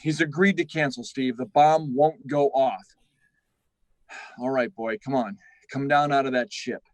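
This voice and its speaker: A man's voice: medium pitch, a little slow, close to an everyday voice. A man acting an earnest, authoritative official in a 1950s-style radio drama, speaking with urgent emphasis. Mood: calm, reassuring. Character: earnest, authoritative male official handling a crisis.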